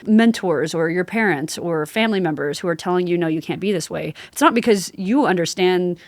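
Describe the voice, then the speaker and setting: A woman's voice: lowish voice, fairly quick, dry recording. A woman hosting a podcast, interviewing in a casual, supportive way with frequent short acknowledgements.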